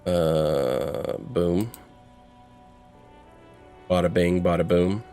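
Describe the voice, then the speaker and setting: Guy with a lowish voice streaming a game, chatty and sweary, faint music under him. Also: very slow, dark tone. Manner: profane, conversational. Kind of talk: casual livestream chat and playthrough commentary.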